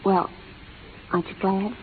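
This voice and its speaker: An actress playing a gentle, earnest young schoolteacher with proper, sincere speech in an old radio drama. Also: female voice, medium pitch, little pitch movement, unhurried, lightly acted. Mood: timid, earnest. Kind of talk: 1930s radio drama acting. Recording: tinny, noisy 1930s radio recording.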